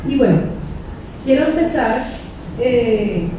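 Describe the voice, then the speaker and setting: A woman hosts a live talk with a soft-edged, mellow tone. She speaks informally and haltingly, stretching and repeating words as she goes. The sound is strongly echoey and distant from the microphone, with a little noise underneath. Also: on the high side, expressive. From Venezuela. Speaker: host and moderator of a panel discussion. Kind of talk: informal spoken introduction to a live public talk. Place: indoors in a library event space. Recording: very echoey room, distant mic, faint noise.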